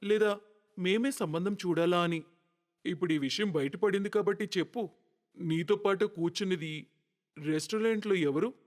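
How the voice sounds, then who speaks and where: A man's voice: sits high, moderate pace, pauses often, lightly acted. A man playing a stern but kind middle-aged father in a cartoon story, direct and authoritative, in a reverberant recording. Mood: questioning. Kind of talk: cartoon moral story acting.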